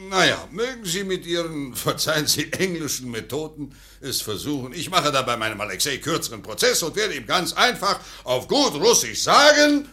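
A man's voice: a little high, big pitch swings, moderate pace, pushed and bright. An actor playing a domineering, hot-tempered old Russian landowner and father in a German radio play. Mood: self-assured. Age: older.

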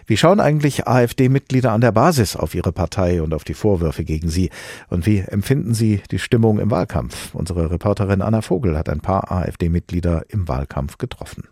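A man introducing a news report in a formal, measured presenter style. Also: sits low, expressive, a little mellow, no room sound, studio quality.

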